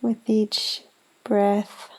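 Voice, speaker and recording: A woman leading a guided meditation in a gentle, soothing, unhurried manner with long pauses. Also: mid-range voice, little pitch movement, poor audio. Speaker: yoga nidra meditation guide.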